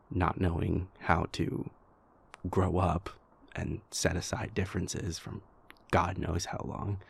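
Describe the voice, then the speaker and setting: Man acting a husky, halting vampire prince. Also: full character voice. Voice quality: husky, gravelly low voice. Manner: halting, stammering, repeats words, hesitant. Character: anxious, self-doubting male vampire prince confiding in his lover. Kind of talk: intimate audio roleplay monologue.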